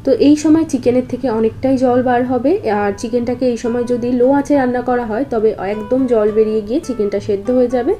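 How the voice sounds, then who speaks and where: A woman presents a recipe voice-over. Her voice sits a little high yet sounds mellow and relaxed. She explains each step in an instructional way, with faint guitar music playing behind her. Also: fast. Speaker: cooking show host. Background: guitar background music.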